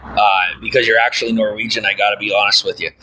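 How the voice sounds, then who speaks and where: A man's voice: on the low side, flat delivery, quick pace, sharp tone, slight background noise, rough recording. A man livestreaming, chatting casually with viewers and reading their comments, rambling with lots of fillers and folksy asides. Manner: folksy, often says "I'll tell you what".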